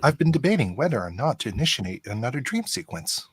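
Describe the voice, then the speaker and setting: A man playing a polite, curious android officer in an amateur radio play, formal and measured, with a husky voice. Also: mid-range voice, very animated, noisy background.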